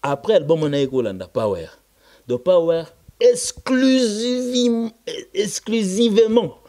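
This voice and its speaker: A man with a Congolese accent and Lingala as his first language speaks as an interviewed musician, emphatic and repetitive, switching between halting French and Lingala. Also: high-pitched, lively intonation, dark tone. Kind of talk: casual interview, recounting band history.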